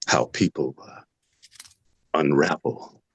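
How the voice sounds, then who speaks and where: A man in a table read playing a brooding, unsettling patient who speaks haltingly and deliberately, with pauses. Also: very deep voice, breathy edge, no room sound, heavily acted. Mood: brooding, probing. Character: brooding, unsettling male patient, slow and probing. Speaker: actor in a script table read. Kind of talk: dramatic script reading.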